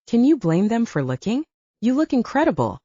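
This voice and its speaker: A woman's voice: on the high side, sing-song, brisk, dark tone, no echo, muffled recording, pitched above the performer's own voice. A woman voicing a teasing, determined male hero in a werewolf audiobook, with casual, wry banter. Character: teasing, determined man, first-person hero of werewolf story. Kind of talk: audiobook character acting.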